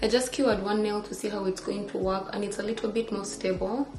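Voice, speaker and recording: A woman's voice: medium pitch, fairly even intonation, a little fast, loud music behind the voice. Woman vlogging in an echoey room. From Uganda. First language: Luganda. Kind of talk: casual chatty lifestyle vlog. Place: indoors at home. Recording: echoey room with clear background noise.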